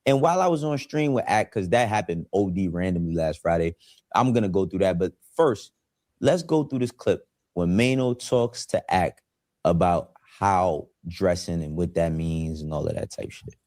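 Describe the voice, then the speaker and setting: A man in his forties hosts his own online show in a laid-back, streetwise way. His voice sits very low. The recording is dry and close. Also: animated, moderate pace, a little mellow, no room sound. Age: 40s. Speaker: YouTube show host. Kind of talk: casual commentary.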